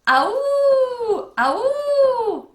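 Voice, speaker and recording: Very high, loud howling monster. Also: female voice, sing-song, drawn-out words, echoey room, heavily acted. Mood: eerie howling. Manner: wild, spine-tingling wailing. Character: howling campfire legend monster, the Howler.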